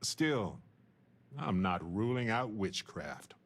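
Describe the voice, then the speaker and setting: An actor playing a witty, sentimental space explorer and scientist in a video game, with a wry, playful, musing manner. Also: male voice, on the low side, big pitch swings, slow, heavily acted. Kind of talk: video game dialogue acting.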